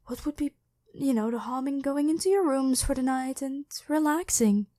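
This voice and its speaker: A devoted and protective female knight who heads the royal guard, acted by a woman in an ASMR roleplay. Her voice sits a little high with a very mellow, soft tone. She speaks formally and with deference, as if to a monarch, and the recording is close and dry. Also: normal speed, dry recording, close to an everyday voice. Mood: gently worried, pleading. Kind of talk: scripted ASMR roleplay acting.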